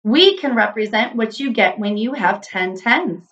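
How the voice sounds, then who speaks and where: A woman's voice: on the high side, lively intonation, measured pace, fairly bright tone, highs cut off. A woman voicing an earnest, eager number character, a hopeful young inventor in a children's storybook. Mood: proud, hopeful.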